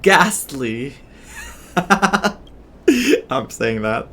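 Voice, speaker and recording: A man's voice: fairly high pitch, very animated, very slow, pushed and bright. A man giving casual, amused, reactive commentary as he plays through a video game. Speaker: gaming streamer.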